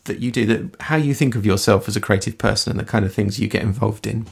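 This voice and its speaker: A man hosting a podcast interview, speaking in a friendly, measured way with some hesitant restarts. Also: fairly low pitch, gravelly, slightly rough. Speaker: podcast host.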